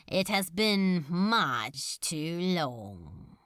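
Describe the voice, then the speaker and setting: A woman acts out a coldly courteous demon lord from a storybook, dragging each phrase out very slowly. He is rasping and strangely accented, leaning hard on odd words as he toys with his listener in a dry, close recording. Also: on the low side, big pitch swings, no echo, voice pushed down, full character voice. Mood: cold, mocking. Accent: strange, unplaceable. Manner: slow, forceful, unpredictable stress on words, toying. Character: menacing, authoritative male demon lord, coldly courteous, archaic speech.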